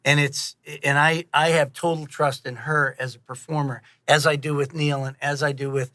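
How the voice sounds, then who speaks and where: A man with a bright, forceful and slightly high voice talks casually as an interview guest. He halts and repeats words as he goes, and the sound is close and dry. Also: level tone, moderate pace, no room sound. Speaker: audio drama director and guest.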